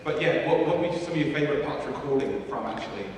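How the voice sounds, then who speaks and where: A man's voice: mid-range voice, expressive, rapid-fire, background noise. A man asking a question from a convention audience, rambling, apologetic and self-deprecating. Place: large convention hall. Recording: echoey distant hall, crowd behind.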